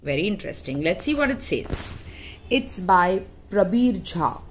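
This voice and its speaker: Woman narrator, article read-aloud, slow pace, very varied intonation, deliberate phrasing, frequent pauses. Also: mid-range voice, big pitch swings. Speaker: audiobook reader.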